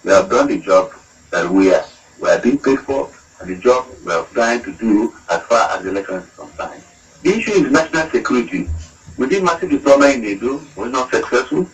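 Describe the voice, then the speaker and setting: A man's voice: medium pitch, expressive, fairly quick. A man with a Nigerian accent speaking unscripted as a police spokesman, emphatic and repeating phrases for stress. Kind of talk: unscripted radio interview commentary. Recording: radio clip, distant from the mic.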